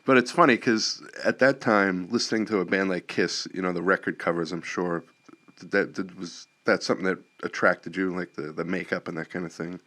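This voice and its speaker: Deep-voiced man hosting a relaxed interview. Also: normal speed. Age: 50s. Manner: easygoing, lots of fillers and agreeing "yeah". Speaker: podcast host. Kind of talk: casual interview.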